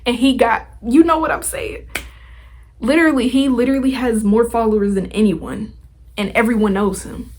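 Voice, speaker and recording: A woman's voice: on the high side, fairly even intonation, normal speed, a little mellow, a little hiss or hum. A woman hosting a podcast, giving a casual motivational talk straight to listeners in an informal, slangy, emphatic way. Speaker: podcast host.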